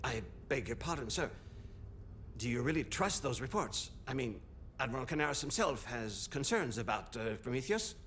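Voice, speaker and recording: An actor playing a deferential, doubtful officer in a war game cutscene. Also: male voice, mid-range voice, sing-song, moderate pace, fairly bright tone. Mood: deferential, hesitant. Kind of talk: cutscene drama. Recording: clear background noise and ambience.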